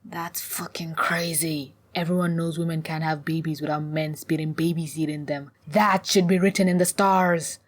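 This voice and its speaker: Woman acting brash young huntress, raised pitch. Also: steady tone, moderate pace, hardly any pauses. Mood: blunt. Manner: blunt, cheeky, casual.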